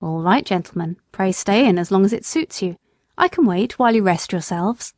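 A woman's voice: mid-range voice, animated, normal speed, darkish tone, dry recording, studio quality, close to an everyday voice. A woman voicing a kindly, plain-spoken London cab driver in a storybook, firm and fatherly. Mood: stern, dry.

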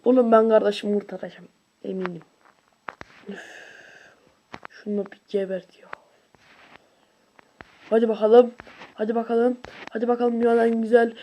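An excitable video creator with a high voice, giving casual, slangy gameplay commentary with shouts and whoops. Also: a little hiss or hum.

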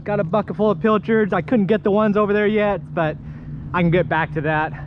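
A middle-aged man talks to himself while fishing from a kayak, exclaiming as he goes, in a voice that sits high for a man. He is recorded on an action camera mounted on the boat, with loud wind and water noise all around. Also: fairly even intonation, a little fast, lo-fi sound. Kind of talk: casual running commentary while fishing. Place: kayak on open water outdoors.

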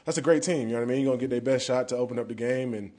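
A young man with a mid-pitched, mellow voice talks loosely at a podium mic in a press room. He answers post-game questions conversationally, sprinkling "man" and "you know what I mean" through his lines. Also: rapid-fire. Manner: casual, conversational, drops "man" often. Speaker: football player. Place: press conference room, indoors. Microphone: podium mic in front.

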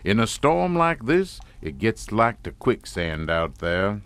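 An actor playing a courtly, sinister old plantation patriarch with a folksy Southern US drawl in an audio drama. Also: male voice, on the low side, big pitch swings, takes it slowly, a bit bright, smooth, exaggerated voice. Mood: folksy, ominous.

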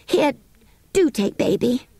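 A woman's voice: high voice, animated, takes it slowly, dark tone, slightly rough, no echo, pitched above the performer's own voice, full character voice. A woman voicing an earnest, pleading young girl in a children's storybook reading. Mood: weary, pleading. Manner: pleading, eager, emphatic.

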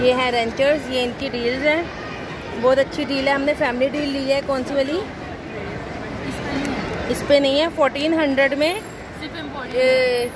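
Very noisy, crowded mall audio carries a woman with a Pakistani accent and a fairly high voice. She talks casually to her viewers, commenting appreciatively on what she shows as she films on a phone. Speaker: family vlogger. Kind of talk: casual vlog narration to viewers. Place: busy indoor shopping mall. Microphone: handheld phone. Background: loud crowd and mall noise.

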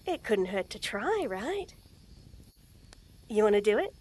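A young woman voicing a shy, nervous tomboy friend in a romantic roleplay audio, stammering, giggling and speaking softly. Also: medium pitch, very animated, unhurried, slightly rough. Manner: stammering, giggly, soft-spoken. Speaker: voice actor.

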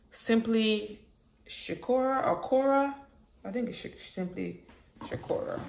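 Low-pitched woman vlogging in an echoey room. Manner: informal, chatty, repeats phrases. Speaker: lifestyle vlogger. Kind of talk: casual vlog chat to viewers. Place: rooms at home, indoors. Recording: roomy echo, background noise.

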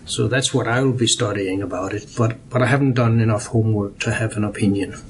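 An older man from Denmark, answering interview questions in a measured way with dry humor and frequent hesitations. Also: on the low side, crowd noise, poor audio. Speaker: guest. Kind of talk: interview conversation.

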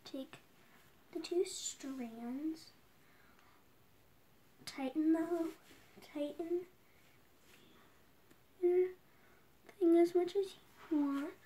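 Young girl vlogger, high-pitched and unhurried, casual and self-narrating, recorded on a device a few feet off in a quiet room. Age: child, around 10 to 12. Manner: chatty, unscripted, self-talking while tying a headscarf. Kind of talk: casual home tutorial vlog. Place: small bedroom indoors. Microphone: phone or tablet camera set across the room.

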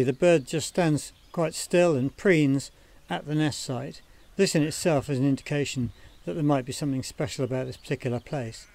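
A man giving scripted documentary narration for a wildlife training film in a measured, explanatory manner. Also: on the high side, a little mellow.

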